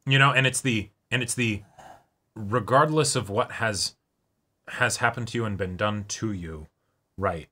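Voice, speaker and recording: A man hosting a reaction show in casual discussion, halting and repeating words as he reasons aloud. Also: lowish voice, pushed and bright. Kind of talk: casual discussion of an anime episode.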